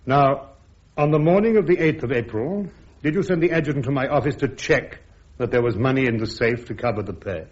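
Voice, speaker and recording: Actor as incisive English army officer, dull recording. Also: male voice, mid-range voice, brisk, close to an everyday voice. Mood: cutting, insistent. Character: defiant, sharp British army major defending himself at court-martial. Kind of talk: radio drama acting. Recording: dull, muffled radio drama recording.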